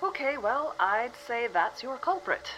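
A composed official from the future, calmly delivering terrible news, played by a woman. Her voice is bright and lively in pitch. She stays matter-of-fact and politely apologetic, with a faint music bed behind. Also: a little slow, heavily acted, low-quality recording. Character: calm female official from the future. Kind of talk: audio drama acting.

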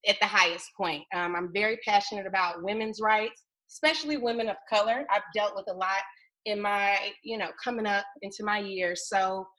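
A woman in her thirties introducing herself as a podcast co-host, lively and playful, laughing often. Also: medium pitch, low-quality recording. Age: 30s. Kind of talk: casual self-introduction.

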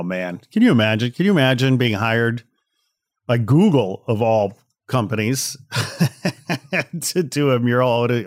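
A man's voice: mid-range voice, no room sound, clean studio sound. A man hosting a podcast in a casual, wry, conversational way, speaking straight to the listeners. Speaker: podcast host.

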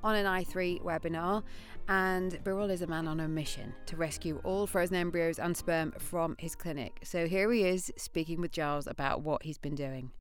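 A woman host reading a scripted podcast introduction in a smooth read-aloud delivery. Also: lowish voice, steady tone, normal speed, faint music behind.

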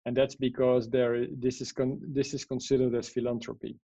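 A man's voice: mid-range voice, flat delivery, moderate pace, warm tone, no echo, highs cut off. A man from the Netherlands, a guest in an interview, explaining at length with many fillers and checking "yeah?" questions. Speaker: guest, AI strategist and author. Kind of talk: interview answers.

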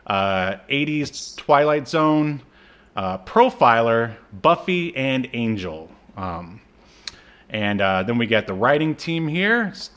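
A man co-hosting a podcast in casual film and TV chat, a native English speaker with a jokey, chuckling, halting delivery. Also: medium pitch, a bit bright. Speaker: podcast co-host.

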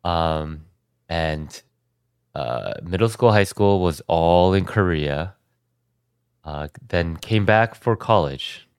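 A young American man with a very deep, smooth voice talks through his story in a loose, rambling way, restarting sentences as he goes. The sound is dry and close. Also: slow, no echo. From United States. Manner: relaxed, rambling, frequent restarts and fillers. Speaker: podcast guest. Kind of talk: casual conversation.

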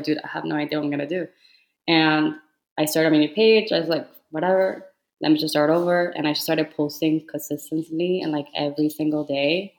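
A woman's voice: low-pitched, poor audio. A woman in her twenties from Cuba, with a slight Cuban Spanish accent, chatting casually as a podcast guest and using lots of "like" fillers. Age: 20s. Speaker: podcast guest, fitness influencer. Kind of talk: casual chat.

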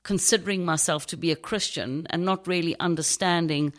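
A middle-aged woman from South Africa with a South African accent, a podcast guest telling her story thoughtfully with many pauses and ums. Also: on the low side, steady tone. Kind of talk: casual interview conversation.

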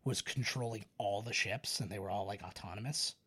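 A man's voice: fairly low pitch, fairly quick, sharp tone, slightly rough. A man hosting a podcast, chatting casually and recounting lore in a rambling, enthusiastic, sweary way. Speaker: podcast host.

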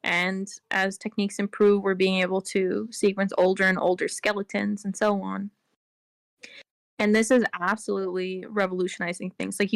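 A woman's voice: mid-range voice, gravelly, no echo. A woman with a mid-range voice talks as a podcast guest, explaining her answers at length and filling gaps with "like" and "um". The sound is dry and close, with no room around it. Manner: explains at length, with many fillers like "like" and "um". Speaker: interview guest. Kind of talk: casual explanatory interview talk.

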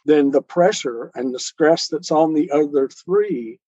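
A man speaking as an interview guest, explaining his ideas in a measured, earnest way and repeating phrases for emphasis. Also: fairly high pitch, mellow, husky, dry recording, lo-fi sound. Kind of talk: earnest explanatory interview talk on self-help and faith.

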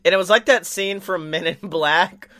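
A man's voice: high voice, moderate pace, bright, forceful tone. A man co-hosting a comedy podcast, chatting casually with boisterous, goofy riffing. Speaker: podcast co-host.

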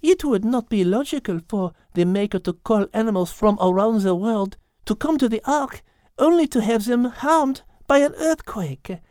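A woman plays a prim, clever little female creature with a French accent. The voice is very high, with precise, formal phrasing fit for a children's animal story, recorded dry and close. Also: expressive, normal speed, mellow, no room sound, close to an everyday voice. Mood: reassuring. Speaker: co-host and character in an animal story podcast. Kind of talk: children's audio drama.

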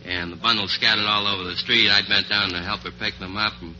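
A young man from Boston gives chatty answers as a quiz contestant. His voice is fairly low but very forceful and bright, on an old, dull radio recording with some noise. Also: steady tone, brisk. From Boston, Massachusetts. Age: young adult. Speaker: quiz show contestant, antique dealer.

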